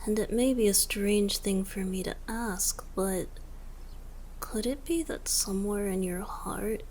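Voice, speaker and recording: A young bard god played by a woman speaks slowly and softly, often slipping into breathy whispers. He is playful, tender and affectionately teasing, made for romantic ASMR roleplay. The recording carries faint rumbling noise behind the voice. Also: close to an everyday voice. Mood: tender, hurt.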